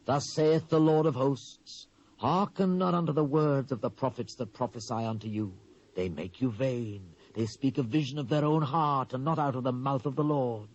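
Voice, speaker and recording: A British actor playing a stern, wrathful Hebrew prophet in a dramatised scripture reading, solemn and declamatory, swelling and dropping for emphasis. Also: male voice, mid-range voice, moderate pace, a little mellow, lightly acted. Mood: stern, indignant. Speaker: Bible narrator. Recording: dull lo-fi cassette transfer.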